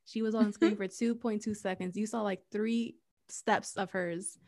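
A woman co-hosting a podcast in casual chat, animated, laughing often and slipping into Spanish phrases. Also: mid-range voice, moderate pace, vocal fry. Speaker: podcast co-host.

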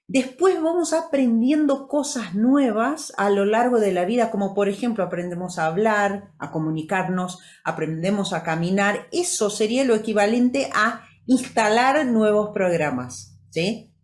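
Didactic Brazilian woman, native Portuguese speaker. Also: medium pitch. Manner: didactic, asks rhetorical questions. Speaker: podcast co-host. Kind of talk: conversational explanation with simple comparisons.

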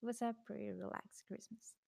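A woman from Mexico speaks in a relaxed chat with noticeable vocal fry. She sometimes stammers and restarts her sentences. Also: medium pitch, a little fast. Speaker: podcast guest. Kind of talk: casual chat.

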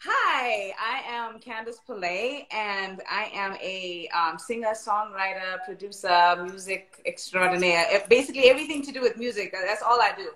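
A bubbly woman from South Africa chats as a songwriter guest, with a mid-pitched voice. Her voice carries an echoey room sound. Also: normal speed, few pauses, a bit bright. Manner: bubbly, laughs easily, chatty. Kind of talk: casual interview chat.

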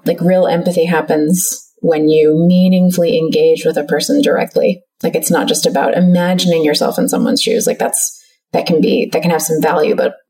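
A woman's voice: sits low, mellow. A woman speaking as a podcast guest on empathy, reflective and casual, often hedging with small fillers. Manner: reflective, hedges with "like" and "you know". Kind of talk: casual interview chat. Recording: slightly distant mic.

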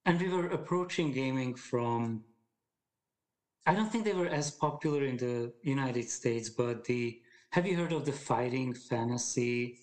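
Male guest, 40s; thoughtful, halting delivery with frequent fillers. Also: mid-range voice. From Hungary. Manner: thoughtful, hesitant, many fillers and restarts. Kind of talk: casual conversation.

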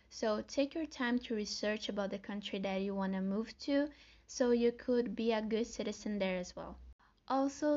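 A woman's voice: a little high, a little mellow, highs cut off. A young woman from Brazil with a Brazilian Portuguese accent, hosting her own solo podcast in an earnest, friendly way, as if reading from notes. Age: young adult. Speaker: podcast host. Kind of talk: scripted solo podcast talk.

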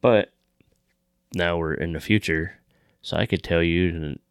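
A man's voice: low-pitched, steady tone, a little slow, vocal fry, clear, resonant tone, no room sound, clean studio sound. A man hosting a podcast, chatting casually and making show announcements. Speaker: podcast host.

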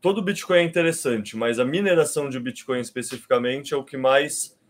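A Brazilian man in his thirties, speaking with a Brazilian Portuguese accent. He talks at a relaxed, unhurried pace, explaining things step by step and restarting his sentences often. His pitch sits in an ordinary middle range for a man. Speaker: podcast guest. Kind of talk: casual conversation.

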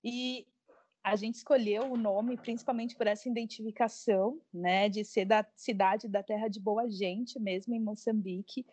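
A woman's voice: mid-range voice, steady tone, moderate pace, a little mellow, dry recording. A woman co-hosting a podcast in a casual, conversational style. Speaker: podcast co-host.

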